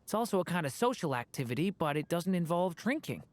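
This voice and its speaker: A woman voicing a gentle, earnest young boy who used to be a dragon, soft-spoken and a little hesitant. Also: on the low side, very animated, fast talker. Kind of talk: game voice acting.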